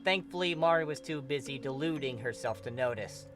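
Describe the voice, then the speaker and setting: A man's voice: mid-range voice, brisk, fairly bright tone. A man reading fan fiction as narrator, in a scripted but casually profane storytelling style. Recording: music behind the voice. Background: clear music.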